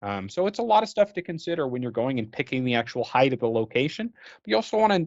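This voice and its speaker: A man gives an informal training presentation in a mid-pitched voice. He explains step by step in a conversational, loosely phrased manner. The recording is dry and a little muffled. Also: very animated, quick pace, no room sound. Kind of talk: informal instructional slide narration.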